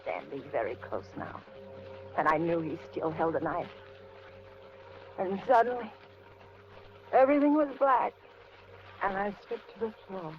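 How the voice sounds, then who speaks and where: A muffled old radio suspense recording with plenty of noise. a fearful elderly American captive, her breathless, stammering voice swinging widely in pitch. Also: animated, measured pace, long pauses. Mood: haunted narrating. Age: older. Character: frightened, pleading old woman held captive.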